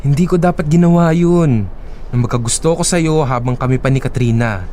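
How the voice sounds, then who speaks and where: A man with a Filipino accent playing a smooth-talking, persistent young ex-boyfriend in an audio drama. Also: on the high side, a little fast, mellow, slight background noise, close to an everyday voice. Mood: earnest, pleading.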